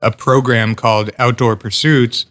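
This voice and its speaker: A man with an ordinary middle-pitched voice tells a loose, rambling story. He keeps padding his sentences with "like" and "you know.". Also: fairly even intonation, measured pace, a bit bright. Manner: rambling, many "like" fillers. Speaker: podcast guest. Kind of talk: casual chat.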